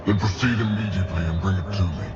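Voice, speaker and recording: An actor playing a cold, imperious alien warlord in a video game, curt and commanding. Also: male voice, low voice, quick pace, heavy background noise, lightly acted. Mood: cold, demanding. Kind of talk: video game dramatic acting. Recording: dull game audio under loud music.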